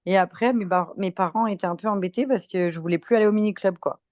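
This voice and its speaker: A woman's voice: mid-range voice, fast, darkish tone, dry recording, dull audio. A woman in her twenties from France, with a French accent lightly tinged with Québécois, chatting casually and good-humoredly as a podcast guest. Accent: French from France with slight Québécois tinge. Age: 20s. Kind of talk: casual chat, telling anecdotes.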